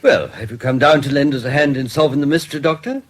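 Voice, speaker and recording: An actor playing a genial, courteous British coroner in a radio drama, speaking with effusive, formal politeness. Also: male voice, medium pitch, fast, husky, lightly acted. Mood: genial, curious.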